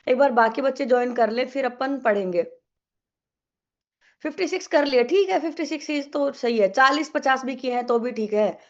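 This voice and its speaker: A woman's voice: high-pitched, normal speed, lo-fi sound. A young woman teaching an online exam-prep English class with a sore throat, chatty and coaxing, mixing English into her Hindi.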